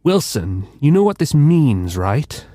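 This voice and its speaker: An actor playing a bumbling, self-important London detective with a pompous, eager manner in a comedic radio drama. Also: male voice, a little high, very animated, slow, mellow, no room sound, studio quality. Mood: inquisitive.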